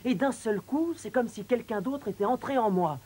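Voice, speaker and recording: A man's voice: extremely high pitch, moderate pace, warm tone, husky. A man playing an earnest, driven young male champion skier in a cartoon dub. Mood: frustrated, troubled. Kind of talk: French cartoon dub acting.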